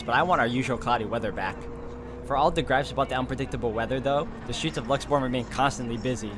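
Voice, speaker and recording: A man's voice: medium pitch, background noise. A man playing through a visual novel, reading its narration aloud and adding his own asides. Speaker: let's player. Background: background game music.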